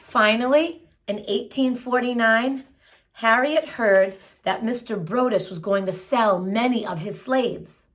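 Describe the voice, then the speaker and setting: A woman's voice: medium pitch, fairly bright tone. A woman reading a children's picture book aloud, phrasing it expressively and adding simple explanatory asides for young listeners. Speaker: children's story reader.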